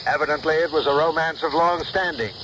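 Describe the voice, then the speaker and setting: An actor playing a grave newsreader in a 1970s radio drama, declaiming a scandal in measured dramatic phrases. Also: male voice, on the high side, close to an everyday voice. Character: newsreader gravely announcing a scandalous society murder. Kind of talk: 1970s radio drama acting, news bulletin delivery. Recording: noisy 1970s radio broadcast recording.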